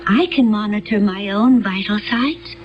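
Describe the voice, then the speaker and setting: An actress playing a serene, remote woman accused of murder in a radio drama, with formal, deliberate phrasing. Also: mid-range voice, highs cut off, soft background music, natural voice. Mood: troubled, distant. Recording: band-limited old radio drama recording.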